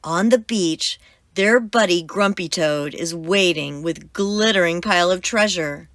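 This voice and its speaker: A woman reading a children's book aloud as host, with expressive, emphatic storytelling. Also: on the low side, a little slow, dry recording, clean studio sound.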